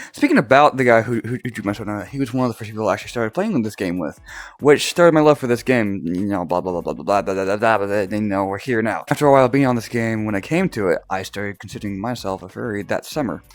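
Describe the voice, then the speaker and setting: Young male vlogger, low and groggy, rambling storyteller prone to laughs and restarts. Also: fast. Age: young adult. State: groggy, just woke up. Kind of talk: casual personal storytelling.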